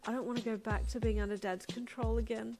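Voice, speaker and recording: A woman's voice: fairly high pitch, steady tone, fast, voice pushed up, natural voice. A woman voicing a frightened, fiery young woman who speaks in an exasperated, raised voice, with music underneath. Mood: anxious. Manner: shouting, exasperated.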